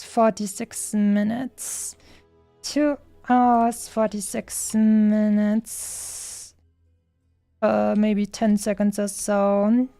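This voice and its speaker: A woman's voice: a little high, vocal fry, mellow, dry recording. A woman game streamer chatting casually in a rambling way with playful, cutesy wording. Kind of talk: casual livestream chat over gameplay. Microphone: streaming microphone. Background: faint game music.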